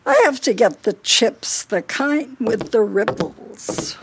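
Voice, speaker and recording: An actress with an American accent playing a bossy, forgetful, scolding older wife in a radio play. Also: female voice, on the high side, expressive, takes it slowly, gravelly, noisy background, exaggerated voice. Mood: weary, bickering. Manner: scolding, fussy.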